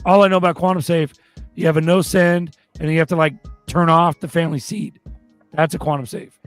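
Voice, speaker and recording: A man co-hosting a crypto stream, his voice sitting a bit high. He reads chat questions and answers them bluntly with plenty of swearing, over faint music. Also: level tone, brisk. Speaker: co-host. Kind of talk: casual crypto livestream chat.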